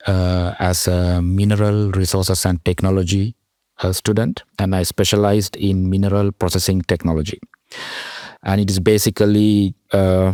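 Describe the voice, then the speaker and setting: Young sri lankan guy, very low voice, halting with lots of uhs, dry close mic. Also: a little mellow, no room sound. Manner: halting, with many fillers and restarts. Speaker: guest, PhD student. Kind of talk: podcast interview.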